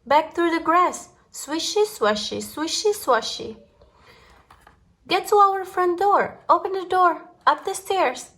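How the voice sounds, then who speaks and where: A woman reading a children's picture book aloud in an expressive, chant-like storytime style, drawing out her words. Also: very high-pitched. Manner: deliberate, chant-like delivery with drawn-out words.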